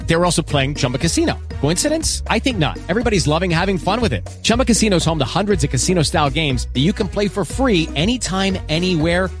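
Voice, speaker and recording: Quick-talking male ad spokesman. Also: high-pitched, big pitch swings, over music. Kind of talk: chatty scripted ad read.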